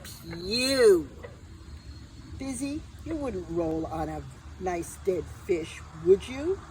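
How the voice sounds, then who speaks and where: Older woman, animated, distant outdoor recording. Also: fairly low pitch, drawn-out words. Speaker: children's book author. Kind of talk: presenting her book to children. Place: outdoors in a grassy garden. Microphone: far camera or phone. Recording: distant outdoor mic, clear background noise.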